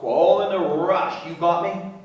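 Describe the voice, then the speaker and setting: A wealthy, boastful American businessman in a radio play. He talks in a high-pitched, folksy, garrulous way full of slang. The sound is very echoey and set back from the microphone. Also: measured pace, distant mic, highs cut off, slight background noise. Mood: insistent. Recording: very echoey room, off mic.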